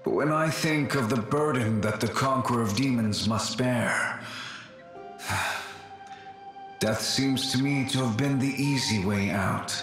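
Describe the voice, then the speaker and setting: A man's voice: medium pitch, measured pace, pauses often, a bit bright, lightly acted. A man voicing the melancholy ghost of an ancient warrior in a video game, speaking solemnly and formally with sighs. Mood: sorrowful, solemn. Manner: solemn, sighing, formal and archaic. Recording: heavy echo, music underneath.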